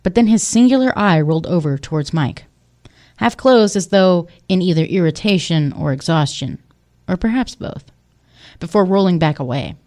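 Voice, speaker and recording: A woman's voice: lowish voice, animated, moderate pace, a little mellow. A woman reading scripted narration for an audio drama in the past tense.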